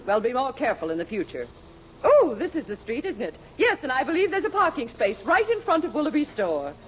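A bossy, sharp-tongued wife in a vintage radio comedy. Her pitch is high and swings theatrically, full of haughty self-importance. The recording is dull, with a faint hiss. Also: huge pitch swings, a little fast. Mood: bossy, indignant. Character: bossy, haughty, ambitious middle-class wife. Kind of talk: 1940s radio sitcom acting. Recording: muffled, hissy 1940s radio recording.

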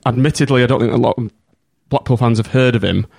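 A man hosts a casual podcast, friendly and a little rambling as he restarts his sentences. His voice is gravelly at an ordinary pitch, and the recording is dry and close with no room sound. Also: fast talker, a little mellow, no echo. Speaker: podcast host.

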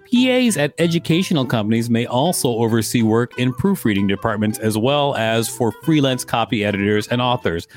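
Man, mid-pitched voice, podcast co-host, article read-aloud, dry humor, music behind. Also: darkish tone. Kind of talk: reading an encyclopedia article aloud with casual banter.

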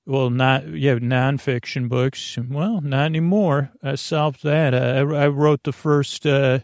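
A man with a creaky voice hosting a bedtime podcast, soothing and whimsical, meandering and halting as he interrupts himself. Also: mid-range voice, fairly even intonation, darkish tone, no echo, studio quality. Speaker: podcast host. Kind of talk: rambling bedtime monologue.

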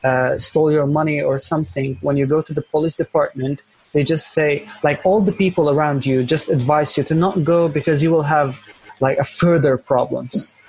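Young Syrian man, Arabic accent, gravelly husky voice, earnest and measured. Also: a little high, fairly even intonation, a little mellow, no echo, rough recording. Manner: earnest, measured, repeats phrases while searching for words. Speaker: interview guest, volunteer teacher. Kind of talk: earnest conversational interview.

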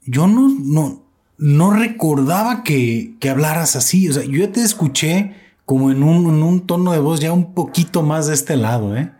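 A man's voice: a little high, expressive, moderate pace, mellow. Chatty Mexican man hosting a podcast. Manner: chatty, playfully imitates accents. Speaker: podcast host. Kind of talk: casual chat.

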